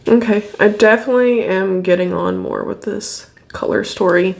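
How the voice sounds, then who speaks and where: Chatty young woman, a bit raspy. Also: medium pitch, moderate pace, a little mellow. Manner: chatty and candid, laughs often while applying makeup. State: slightly raspy from illness. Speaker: beauty vlogger. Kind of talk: casual makeup review. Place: indoor home studio room.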